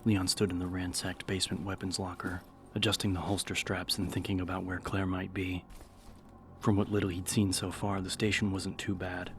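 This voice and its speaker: A man reading a novel as an audiobook narrator, in a measured, serious, dramatic style. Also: low-pitched, little pitch movement, a bit bright, slight background noise. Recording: narration over background music.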